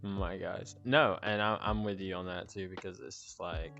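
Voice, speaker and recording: A man's voice: low voice, steady tone, moderate pace, gravelly, fairly bright tone, lo-fi sound, faint music behind. Chatty laughing man in his late twenties. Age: late 20s. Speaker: host. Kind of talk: casual chat.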